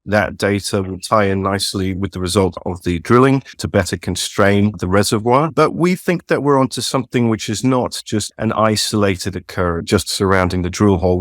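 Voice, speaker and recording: Low-voiced man explaining his business. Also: no room sound, clean studio sound. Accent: non-North American English. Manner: measured, explanatory, with frequent fillers. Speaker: company CEO guest. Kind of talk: business interview answers. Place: home, dog barking at times.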